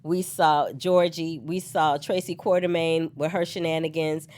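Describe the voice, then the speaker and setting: A woman's voice: low-pitched, measured pace. Chatty woman hosting a soap recap. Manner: animated and chatty, laughs often, talks back to the characters. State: slightly hoarse from a cold. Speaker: host of a soap opera recap show. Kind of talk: solo casual recap chat.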